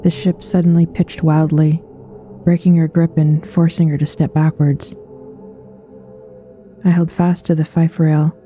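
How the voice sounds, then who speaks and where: A woman reading a scripted horror story aloud as narrator, in a solemn, measured storytelling manner. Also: sits low, little pitch movement, warm tone, soft background music.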